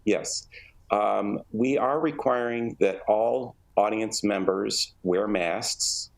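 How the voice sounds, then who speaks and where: A man with a deep voice and noticeable gravelly fry gives explanatory interview answers. He phrases things in a measured, halting way, like an arts director laying out details. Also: rough recording. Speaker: theatre artistic director, interview guest.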